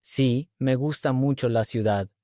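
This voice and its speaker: Male host-teacher, mellow voice, even measured read, dry close sound. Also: medium pitch, dry recording. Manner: clear, even, measured delivery. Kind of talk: slow scripted dialogue reading.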